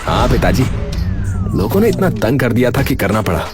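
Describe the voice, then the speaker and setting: Cartoon role: crafty, short-tempered village young man, played by a man, colloquial, very animated pitch, music underneath. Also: normal speed, natural voice. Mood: sly, gloating. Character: scheming, easily irritated young village man. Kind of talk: cartoon voice acting. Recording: music behind the voice.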